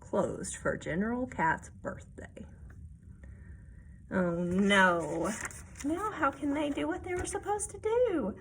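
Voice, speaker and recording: Unhurried, higher-voiced woman reading to children. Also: slight background noise. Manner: explains simply, asks listeners check questions. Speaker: children's story reader. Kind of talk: expressive read-aloud with asides to children.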